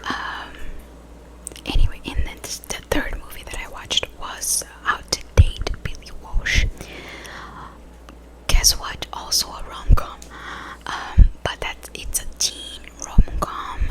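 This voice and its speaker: Whispering woman, ASMR ramble. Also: poor audio. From Brazil. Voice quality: soft breathy whisper. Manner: rambling, casual, backtracking. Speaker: ASMR video creator.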